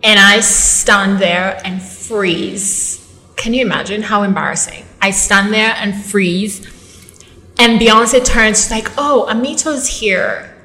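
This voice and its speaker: A young Ugandan woman with an accent and a bright, tense voice. She chats as an interview guest, telling stories with lots of fillers and quick self-corrections. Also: mid-range voice. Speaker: guest, model and actress. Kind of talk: casual interview chat.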